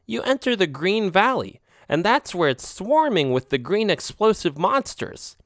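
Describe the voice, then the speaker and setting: Man playing a street-smart tomcat, kinda high voice, matter-of-fact warnings. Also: very animated, a little slow, fairly bright tone, no room sound, studio quality, full character voice. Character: knowing male alley cat, a warning friend.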